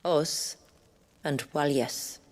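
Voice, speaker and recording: A woman's voice: low voice, fairly even intonation, extremely slow, full character voice. A woman playing a proud, assured leader of a hidden fantasy village, composed and formal, in a video game cutscene. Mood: proud, measured.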